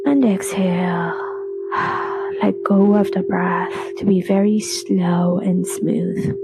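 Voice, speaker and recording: A woman leading a guided relaxation meditation in a soothing, unhurried way, with phrases trailing off breathily. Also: on the low side, steady tone, vocal fry, very noisy. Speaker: yoga nidra meditation guide. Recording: voice over background music.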